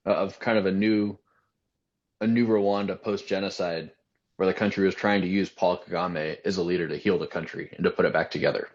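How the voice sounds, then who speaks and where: A man's voice: deep voice, steady tone, a little fast, muffled recording. A man co-hosting a podcast, casually asking questions and checking facts with his partner.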